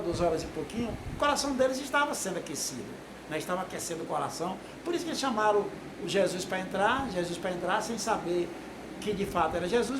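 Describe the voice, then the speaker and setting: A man, giving an informal, rambling sermon to a video audience with many tag questions. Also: sits high. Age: 60s. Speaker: lay preacher. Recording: echoey room with noise.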